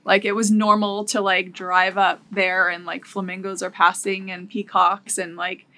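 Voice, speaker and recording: A woman's voice: mid-range voice, flat delivery, normal speed, bright, forceful tone. A woman from Los Angeles, a former reality TV producer, chatting casually with lots of "like" fillers. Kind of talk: casual chat. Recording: podcast studio mic.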